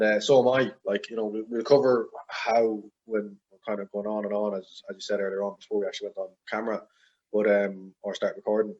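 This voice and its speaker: Young guy in his twenties, low husky voice, loose rambling guest chat over a dull lo-fi line. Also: a little mellow. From Ireland. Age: 20s. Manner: rambling, heavy with fillers and hedges. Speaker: podcast guest. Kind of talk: casual interview chat.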